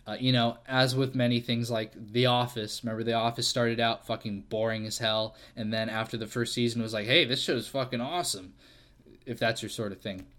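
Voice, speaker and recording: A man's voice: mid-range voice, fairly quick. A man hosting a casual solo podcast, rambling and self-deprecating, with plenty of fillers and swearing. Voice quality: fairly monotone delivery. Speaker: podcast host.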